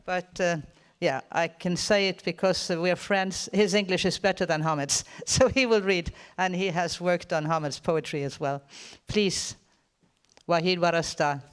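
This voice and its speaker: Clear, high-voiced man moderating a panel. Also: steady tone, moderate pace, fairly bright tone. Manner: conversational, with frequent fillers. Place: seminar hall with audience.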